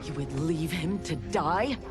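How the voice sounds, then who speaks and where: An actress playing a loyal, impassioned warrior in a video game cutscene, earnest and serious. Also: female voice, deep voice, measured pace. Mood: pleading, indignant. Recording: music behind the voice.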